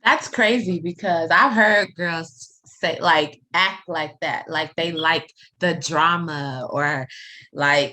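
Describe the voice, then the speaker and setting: A woman of thirty hosting a casual podcast chat, talking with lots of "like" and quick laughs. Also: on the low side, sharp tone, low-quality recording. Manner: chatty, many "like" fillers, quick laughs. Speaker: podcast host.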